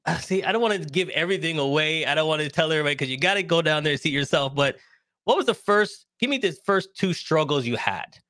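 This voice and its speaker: Hyped bright-voiced male show host. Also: fairly high pitch, animated, fast talker, no room sound, studio quality. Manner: hyped, enthusiastic, repeats phrases. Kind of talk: upbeat show host intro. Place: home studio with purple lighting. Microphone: boom-arm podcast mic.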